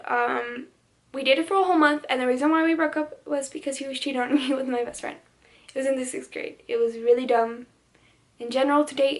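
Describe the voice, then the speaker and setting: A teenage girl whose first language was Spanish, chatting casually through a Q&A on her vlog, with lots of "like" and restarted sentences. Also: sits high. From United States. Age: teens. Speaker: beauty vlogger.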